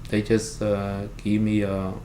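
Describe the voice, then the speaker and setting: A man with a Cambodian accent, a native Khmer speaker, recounts his experiences in halting, simply phrased English in an interview. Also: lowish voice, monotone, dark tone. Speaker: interviewee, refugee services worker and pastor. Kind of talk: oral history interview, recounting personal experience. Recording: roomy echo, clear background noise.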